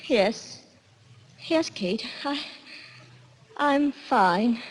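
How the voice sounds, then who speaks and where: An actress playing a frightened, weary, high-strung wife in a 1940s radio drama, agitated and pleading. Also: female voice, animated, drawn-out words. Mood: shaken.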